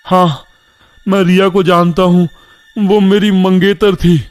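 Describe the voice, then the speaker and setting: A man's voice: high voice, little pitch movement, takes it slowly, a little mellow, no echo, voice pushed up. A man voicing a frightened, guilty young man in a cartoon horror story, trembling with remorse as he speaks. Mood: fearful confessing.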